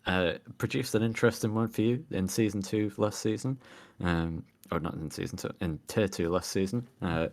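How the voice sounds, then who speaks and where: A man's voice: deep voice, moderate pace, hardly any pauses. A man hosting an online racing league show, conducting joking post-race interviews over a voice call, often restarting his sentences. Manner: teasing, with many restarts.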